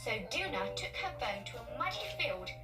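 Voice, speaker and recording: A woman narrating children's stories as a read-along, expressive, emphatic and playful. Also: a little high, normal speed. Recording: distant-sounding, loud music behind. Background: music.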